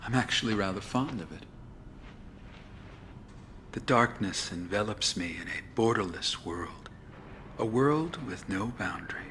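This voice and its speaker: Low-voiced, brooding imprisoned idealist. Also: male voice, expressive, a little slow, long pauses, fairly bright tone, a little hiss or hum. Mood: brooding, content. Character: imprisoned former terrorist leader, calm, brooding idealist. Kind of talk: documentary-style interview acting.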